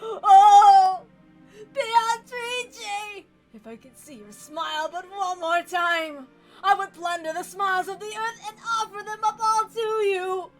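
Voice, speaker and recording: A woman's voice: very high voice, fairly even intonation, takes it slowly, faint music behind, pitched above the performer's own voice, rough recording. A woman voicing an aged, raging patriarch who bellows bombastically and draws out words in a theatrical reading. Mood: anguished, grandiose.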